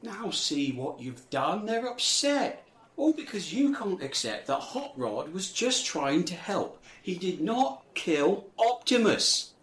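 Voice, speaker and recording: Eccentric old man, audio drama: pitch leaps wildly, agitated rambling, echoey space. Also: very animated, slow, fairly bright tone, exaggerated voice. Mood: agitated, pleading. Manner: rambling, pleading, erratic.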